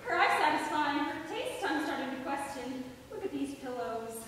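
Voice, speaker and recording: Young woman playing high-voiced exasperated granddaughter, echoey. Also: animated, normal speed, a bit bright. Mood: wry, exasperated. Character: exasperated, dry-witted young granddaughter, easily embarrassed. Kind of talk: high school stage comedy acting. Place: indoor school theater stage, mic far off. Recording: very echoey, distant, noisy stage recording.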